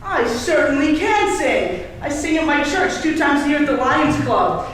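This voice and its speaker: A young performer playing a prissy, socially awkward boy suitor in a high school stage play. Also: lively intonation, moderate pace, fairly bright tone. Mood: indignant. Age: teenage or young adult. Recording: echoey stage, distant camera, faint noise.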